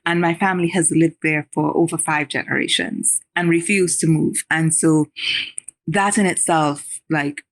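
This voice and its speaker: A woman in her thirties with a Trinidadian accent, a memoirist guest speaking candidly and reflectively in an interview. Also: low-pitched. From Trinidad and Tobago. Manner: reflective, pausing with sighs and laughs.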